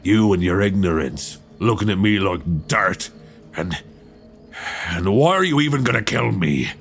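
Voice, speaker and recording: A man playing a weary, wry old nineteenth-century lighthouse keeper with halting, folksy speech in a suspense audio roleplay, close on a binaural mic. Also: low voice, animated, faint music behind. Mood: resigned, bitter. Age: older. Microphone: close binaural microphone.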